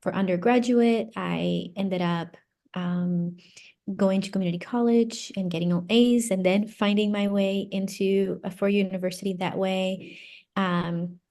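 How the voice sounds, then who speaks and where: Female guest from Brazil, native Portuguese speaker, medium pitch, halting conversational answers. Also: fairly even intonation, mellow. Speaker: interview guest, psychology doctoral graduate. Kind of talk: casual interview answers.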